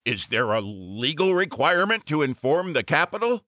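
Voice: medium pitch, big pitch swings, normal speed, sharp tone, pitched above the performer's own voice. A performer voicing a terse, suspicious executive with clipped authority.

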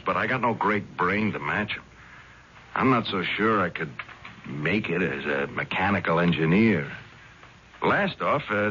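An actor in a 1970s radio drama playing a big, earnest, cocky young football player with casual, slangy speech. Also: male voice, low-pitched, pushed and bright, a little hiss or hum. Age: young adult. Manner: casual, slangy American talk. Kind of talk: 1970s radio drama acting, first-person narration and dialogue. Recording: dull old radio broadcast with music.

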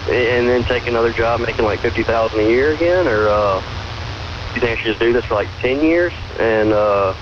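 A man's voice: medium pitch, brisk. A polite, hesitant man from the southern United States calling a radio show and chatting casually, heard through a radio. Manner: hesitant, polite, addressing host as sir. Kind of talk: casual phone-in conversation. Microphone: call replayed from a portable radio speaker. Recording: dull, noisy AM radio relay of a call.

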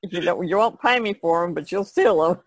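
A woman's voice: on the low side, lively intonation, a little fast, no echo. A woman artist speaking casually as a panelist in a podcast conversation. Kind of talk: casual chat.

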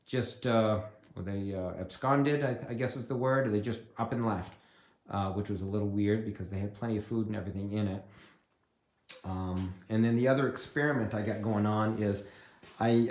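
A man's voice: medium pitch, a little mellow. A man in his forties giving a casual, rambling, informal handheld vlog narration as a beekeeper, thinking aloud into a phone. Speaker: beekeeper vlogger. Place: outdoors in snowy yard, later inside a barn.

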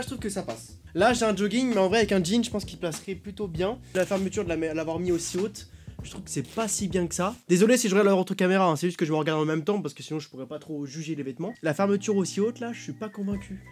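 A young man in his twenties trying on clothes for a vlog, chatty and animated, with quick reactions and self-mocking asides, speaking into a boom-arm studio mic in a small bedroom. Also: soft background music. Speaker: youtuber. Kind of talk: clothing try-on haul vlog. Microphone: studio mic on boom arm in front.

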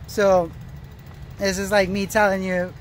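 A man's voice: high-pitched, little pitch movement, measured pace. A man in his thirties giving a casual, slangy vlog monologue as he walks, rambling, repeating himself and cutting himself off. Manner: rambling, repetitive, self-interrupting, slangy. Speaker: vlogger. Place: outdoors on a roadside path. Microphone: phone held at arm's length. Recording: outdoor traffic and ambient noise.

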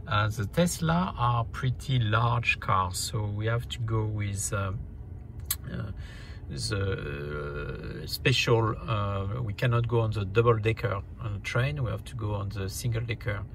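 A man narrating a casual travel vlog in a hesitant, halting way, often repeating words. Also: lowish voice, creaky, a little hiss or hum. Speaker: vlogger.

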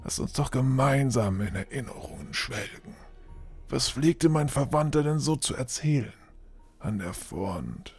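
A man playing a menacing, condescending castle lord with halting false courtesy in a fantasy audio drama. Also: mid-range voice, lively intonation, gravelly, darkish tone, slightly rough, soft background music, exaggerated voice. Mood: suspicious, condescending. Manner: halting, drawling false courtesy with threatening undertone. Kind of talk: fan-made fantasy audio drama acting.

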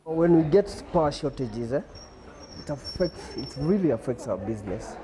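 A man's voice: medium pitch, animated, moderate pace, crowd noise, rough recording. A young man from Kenya, a hairdresser, speaking casually and plainly in an indoor salon on a lapel mic. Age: young adult. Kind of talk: news interview.

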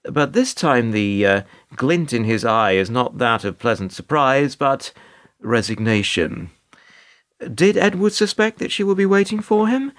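A man's voice: mid-range voice, very animated, a little slow, fairly bright tone. A British man playing a weary middle-aged narrator in a radio play, dry, hesitant and sardonic. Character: wry, weary older male narrator, reluctant bystander.

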